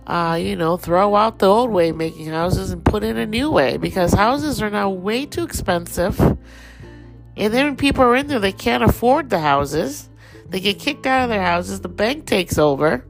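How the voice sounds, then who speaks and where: A woman with a Thai accent giving a relaxed, rambling daily astrology forecast as host. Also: mid-range voice, normal speed, creaky, soft background music, low-quality recording.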